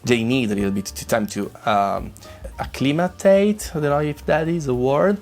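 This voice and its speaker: Italian-accented guy, gravelly and a bit hoarse, easygoing gear talk with stops and restarts, faint music behind. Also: medium pitch, normal speed, darkish tone. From Italy. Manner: hesitant, many restarts, friendly. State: voice rough from a flu. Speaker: guitar gear reviewer. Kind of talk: casual demo chat to camera.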